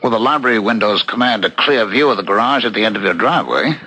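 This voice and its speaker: An actor playing a reasonable, loyal friend with a deliberate, questioning manner in a 1970s radio mystery. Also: male voice, on the low side, expressive, bright, forceful tone, a little hiss or hum, poor audio. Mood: quietly probing. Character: reasonable, probing husband, loyal friend of a suspect.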